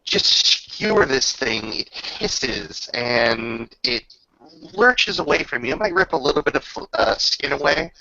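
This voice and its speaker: A man acting as game master, improvising descriptions and rulings in casual, halting talk with a creaky voice. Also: mid-range voice, lively intonation, bright, forceful tone, dry recording, dull audio. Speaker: game master running a tabletop roleplaying game.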